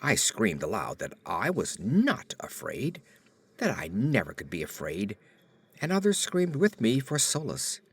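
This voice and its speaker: A man reading a horror story as an audiobook narrator, with theatrical, deliberate phrasing. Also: lowish voice, huge pitch swings, slightly rough, no echo.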